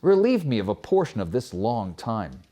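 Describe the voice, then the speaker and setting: A man's voice: on the low side, big pitch swings, moderate pace, dark tone. A man voicing a weary, pleading donkey in an old folk tale, speaking plaintively in old-fashioned formal words. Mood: pleading, burdened. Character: weary, pleading male donkey lamenting hard labour.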